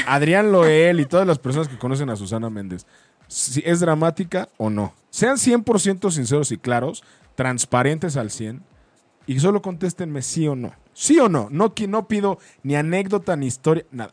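Teasing Mexican male host, highish voice, dry sound. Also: sing-song, moderate pace, dry recording. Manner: teasing, insistent, repeats himself for emphasis. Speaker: co-host of a live talk show. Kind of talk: casual bantering live debate.

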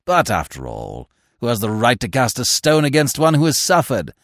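Brief: male reader as an insistent young gentleman, earnest, lively delivery. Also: mid-range voice, normal speed, no room sound, clean studio sound, voice pushed up.

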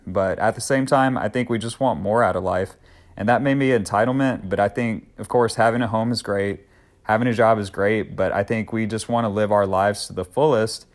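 A man in his thirties hosting a solo podcast, talking in an earnest, upbeat, conversational way with occasional fillers. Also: fairly low pitch. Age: 30s. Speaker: podcast host.

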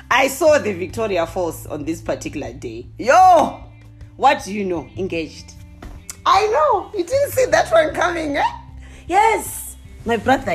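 A young woman from Zimbabwe with a Zimbabwean accent, telling comic stories to her viewers in a casual, animated way with exclamations. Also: on the high side. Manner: animated, exclaiming, laughing often.

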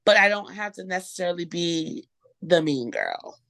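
A woman in her thirties co-hosting a casual podcast, chatty and animated, swearing now and then. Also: lowish voice, moderate pace, gravelly, no room sound. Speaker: podcast co-host. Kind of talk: casual chat.

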